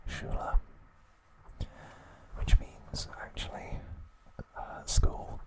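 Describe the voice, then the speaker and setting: A man hosting ASMR, narrating in a hushed, soothing, breathy whisper at an unhurried pace. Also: rough recording. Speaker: ASMR host.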